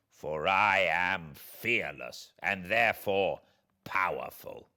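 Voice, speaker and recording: A man voicing a vengeful, wretched monster in a classic novel reading, declaiming eloquent threats in drawn-out words. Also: low-pitched, gravelly, bright, forceful tone, full character voice.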